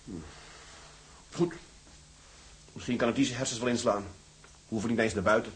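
An actor playing a brooding, stubborn sea captain in a radio drama, terse and grim. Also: male voice, mid-range voice, fairly even intonation, normal speed, a bit bright, a little hiss or hum, lightly acted. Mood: defiant.

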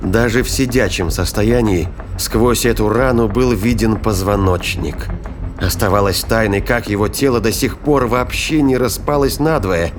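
A man giving scripted dramatic narration of a science fiction thriller in a deliberate, suspenseful manner. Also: lowish voice, slight background noise. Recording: music behind the voice.

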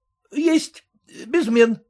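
A man's voice: very high voice, very slow, a little mellow, voice pushed up, exaggerated voice. A man doing a fawning young shop clerk. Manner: obsequious, adds polite -s to words. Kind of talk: audiobook character reading.

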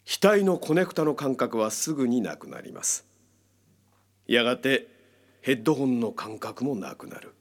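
Male, actor playing radio-drama hypnotist doctor: medium pitch, animated melody, formal, coaxing, commanding. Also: normal speed, natural voice. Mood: hypnotic, controlled. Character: calm, controlling male psychiatrist guiding a hypnotic experiment.

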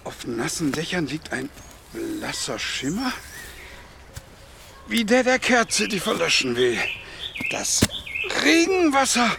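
A man's voice: high voice, huge pitch swings, slow, gravelly, pauses often, background noise, full character voice. An actor in a radio drama playing a stubborn, dreamy widowed pensioner in his sixties, solemn in verse, hesitant and dry in talk. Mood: solemn, wistful. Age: 60s.

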